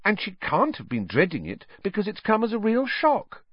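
Male reader as earnest teen schoolgirl: high, questioning, logical, hesitant but insistent; storybook, dry sound. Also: wildly swooping pitch, moderate pace, slightly rough, no echo, lo-fi sound, exaggerated voice. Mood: insistent, reasoning. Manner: hesitant yet insistent, reasoning.

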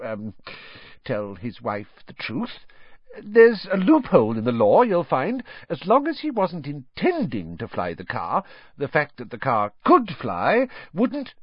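A man's voice: on the high side, breathy edge, dry recording, dull audio, voice pushed up, heavily acted. A man voicing a mild, weary middle-aged father, absent-minded and sheepish, with a hesitant, mumbling manner. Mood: nervous, sheepish.

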